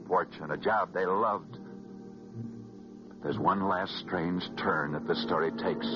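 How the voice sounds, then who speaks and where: An American man telling stories as a 1940s radio host, scripted and dramatic, with emphatic repetition and weighty pauses. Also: low-pitched. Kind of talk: 1940s radio sports storytelling, scripted narration. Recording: muffled vintage 1940s radio recording. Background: music.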